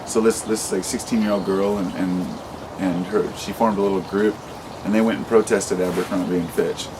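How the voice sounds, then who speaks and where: A man in his late thirties speaks in a deep, low voice, rambling and impassioned as he vents in an unscripted vlog. He swears freely and often restarts his sentences. Steady traffic noise runs clearly behind him. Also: normal speed. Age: 30s. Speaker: vlogger. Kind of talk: unscripted monologue to camera.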